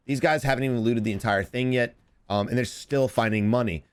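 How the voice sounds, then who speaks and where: Man, 30s, rapid speech, slightly hoarse, casual game coaching, home desk mic. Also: mid-range voice. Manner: rapid, repetitive, advice-giving. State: slightly hoarse from screaming on stream. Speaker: gaming coach and streamer. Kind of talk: casual gameplay commentary and coaching. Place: home streaming setup indoors.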